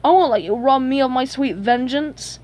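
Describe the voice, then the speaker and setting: A woman's voice: a little high, fairly quick, slight background noise, lightly acted. A woman voicing a gloating, theatrical little male cartoon villain with a mocking, sing-song delivery. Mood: indignant.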